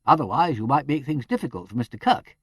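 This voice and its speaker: A man voicing a smooth, tactful English aristocrat with a polished manner. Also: mid-range voice, animated, fast, no echo, pitched above the performer's own voice.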